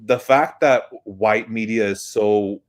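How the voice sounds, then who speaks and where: A man in his thirties calling into a live show, speaking in a hesitant, halting way with many restarts. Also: on the low side. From United States. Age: 30s. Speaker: caller. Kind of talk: casual chat. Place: off camera, joining a live stream remotely.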